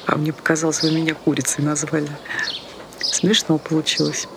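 Nosy park stranger for radio play: highish voice, preachy and insistent, clear birdsong and ambience behind. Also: male voice, monotone, brisk. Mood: nosy, puzzled. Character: chatty, meddlesome passer-by in a park, nagging a young smoker. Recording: noisy outdoor ambience with birdsong.

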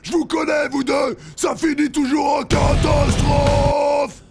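Pompous brawny air purifier: shouted, very high, gravelly, blustering. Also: male voice, little pitch movement, takes it slowly, faint music behind, exaggerated voice. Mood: gruff, irritated. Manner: loud, blustering, self-important. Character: pompous muscle-bound wall-mounted air purifier machine.

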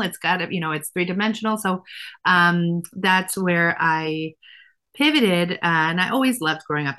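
A woman, a fashion designer answering interview questions thoughtfully with many pauses. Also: fairly low pitch, pushed and bright. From Israel. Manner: thoughtful, many fillers and restarts.

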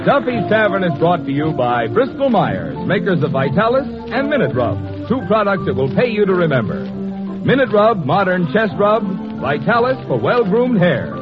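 A male American announcer in old-time radio style. His voice is high and very lively in pitch. Music plays behind him on a dull, aged recording. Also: normal speed. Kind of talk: 1940s radio announcing, sponsor plug.

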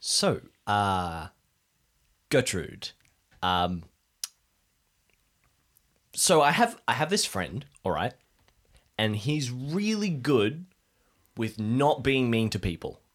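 A man acts out an earnest, socially awkward man in an improvised audio drama. He has a mid-range voice with very varied intonation, and he talks bluntly but haltingly, a little sheepish. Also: medium pitch, sing-song, a bit bright, exaggerated voice.

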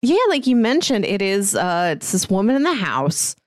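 A woman's voice: medium pitch, very animated, normal speed, dry recording, clean studio sound. A woman co-hosting a podcast, chatting casually as she reviews and explains. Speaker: podcast co-host.

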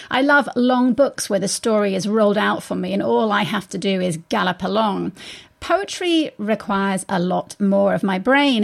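Creaky-voiced woman hosting an arts interview. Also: mid-range voice. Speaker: arts show host and interviewer. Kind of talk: radio interview and scripted introduction.

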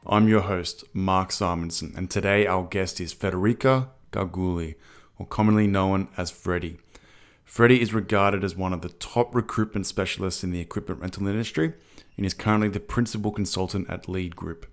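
A man hosting an industry podcast, introducing his guest and asking questions in a measured way. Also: low voice, steady tone. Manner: measured, partly scripted introductions. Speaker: podcast host.

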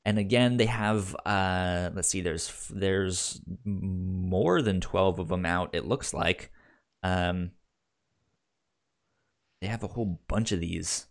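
Low-voiced, slow-talking man, casual podcast host. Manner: halting, often repeats word starts.

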